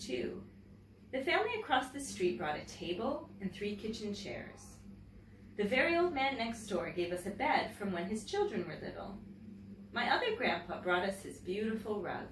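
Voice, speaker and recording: A woman teacher reading a picture book aloud to children in an expressive storytelling manner, pausing to comment and ask questions. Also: a little high. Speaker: teacher and story-time host. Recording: roomy, distant mic, faint background noise.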